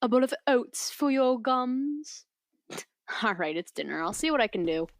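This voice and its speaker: A playful, outspoken young woman in a fantasy kingdom, played by an actress. Her voice sits on the high side and moves with lively, animated intonation, teasing and informal. The recording is dry, in audio drama style. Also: normal speed, dry recording.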